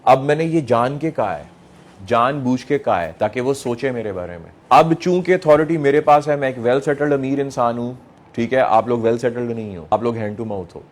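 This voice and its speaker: A man's voice: medium pitch, expressive, moderate pace. A middle-aged man with a Pakistani accent lecturing young people, emphatic, repeating phrases, asking rhetorical questions and mixing in English phrases. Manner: mixes English phrases into speech. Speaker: motivational speaker addressing young people. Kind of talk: motivational lecture to an audience.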